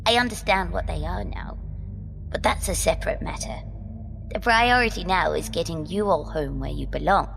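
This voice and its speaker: A woman acting a composed, determined, centuries-old guide in an audio drama, deliberate and at times whispery. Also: on the low side, gravelly, fairly bright tone. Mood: grave. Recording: long cavernous reverb, music bed.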